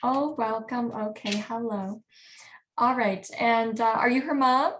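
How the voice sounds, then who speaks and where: A woman with a fairly high, soft voice leads a spiritual reading over a video call. She talks in short bursts and keeps checking whether the listener understands. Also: unhurried, slight background noise. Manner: soft-spoken, frequent checking questions. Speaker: spiritual medium.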